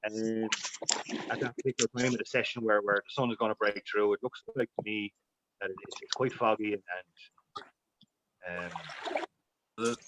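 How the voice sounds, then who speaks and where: A man's voice: on the low side, steady tone, normal speed, long pauses, dull audio, background noise. A man giving live expert commentary on a fly-fishing match, rambling with many fillers and restarts.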